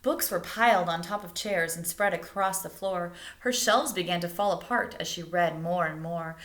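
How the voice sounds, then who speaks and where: Woman reading a storybook aloud, roomy echo. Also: fairly low pitch. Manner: expressive, pausing to explain and ask questions. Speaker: teacher reading a picture book aloud to children. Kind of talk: storybook read-aloud with questions to young listeners. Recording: roomy, echoing indoor sound.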